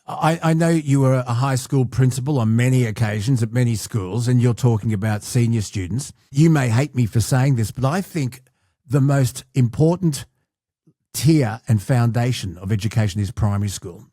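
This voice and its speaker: Mellow man hosting. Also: medium pitch, moderate pace, no echo, studio quality. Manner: conversational, offers his opinion modestly. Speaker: podcast host. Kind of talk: interview conversation.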